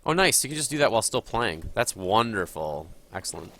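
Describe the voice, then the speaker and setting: A man's voice: fairly low pitch, sing-song, a little fast, gravelly, sharp tone, slight background noise. A man with a cold, sniffling a little, chatting and thinking aloud as he streams a game live. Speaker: gaming streamer.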